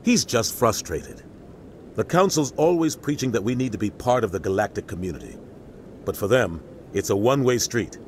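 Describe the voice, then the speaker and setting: A man's voice: on the low side, expressive, normal speed, darkish tone, a little hiss or hum, lightly acted. A man playing a middle-aged navy captain in a video game, grave, embittered and emphatic. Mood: matter-of-fact. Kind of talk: video game cutscene acting. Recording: music behind game dialogue.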